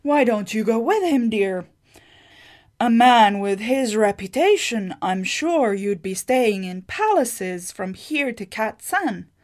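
A woman voicing a mischievous, scheming sorceress with a teasing, suggestive manner and a slow delivery. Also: a little high, lively intonation, darkish tone.

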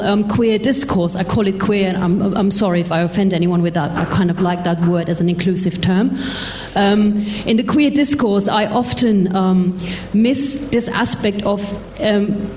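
Female audience questioner, mid pitch, hesitant and rambling, seeking agreement, faint noise on a live event mic. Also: normal speed, few pauses, darkish tone. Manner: tentative, searching for words, seeks agreement. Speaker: audience member asking a question. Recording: noisy live hall microphone.

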